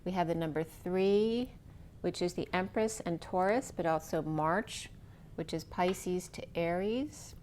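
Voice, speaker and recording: A woman's voice: on the low side, darkish tone, slight background noise. Woman reading tarot, losing her voice. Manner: dreamy, free-associating, slow and deliberate. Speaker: tarot reader. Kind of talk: spiritual tarot reading monologue.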